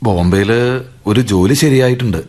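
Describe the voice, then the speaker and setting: A man's voice: lowish voice, fairly quick, a little mellow, natural voice. A man with a Kerala accent playing an earnest, respectful but determined nephew in a radio drama. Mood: hesitant. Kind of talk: Malayalam radio drama acting.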